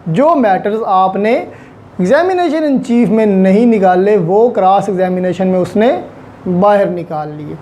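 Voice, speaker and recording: A woman's voice: medium pitch, dark tone. A woman with a Pakistani accent lecturing on law in a didactic way, mixing in English terms and repeating key points for emphasis.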